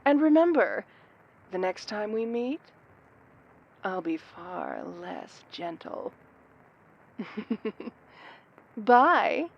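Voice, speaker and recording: A woman's voice: mid-range voice, huge pitch swings, very slow, creaky, long pauses, dull audio, full character voice. A woman playing a flirty, dangerous cat burglar supervillain in an audio roleplay, teasing and sardonic with amused asides. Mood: teasing menace. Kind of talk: scripted audio roleplay acting addressed to the listener.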